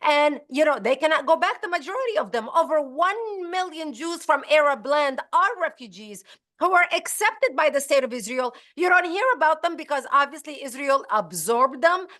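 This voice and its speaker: A woman's voice: high voice, lively intonation, moderate pace, bright, forceful tone. A woman with a Lebanese accent, a guest in an interview, talking emphatically and at length. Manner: emphatic, talkative, switches between English and Arabic. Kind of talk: casual interview conversation.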